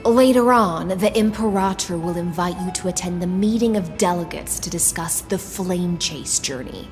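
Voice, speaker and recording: An actress playing a dutiful, courteous female knight commander in a fantasy game, speaking in a formal, measured, deferential way. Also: lowish voice, darkish tone, long reverb tail, faint music behind, close to an everyday voice. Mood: formal, proud. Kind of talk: scripted fantasy game dialogue.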